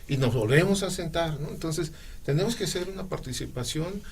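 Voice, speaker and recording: Reflective man, slightly high voice. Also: animated, a little fast, vocal fry, slight background noise. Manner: reflective, builds points with repetition. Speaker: talk show co-host. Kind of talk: conversational interview.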